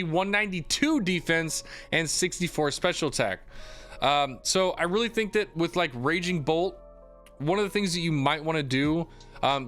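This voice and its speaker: A young man, a gaming YouTuber, giving a casual game guide in an upbeat, chatty way with lots of fillers, his voice slightly hoarse. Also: on the high side, a bit bright.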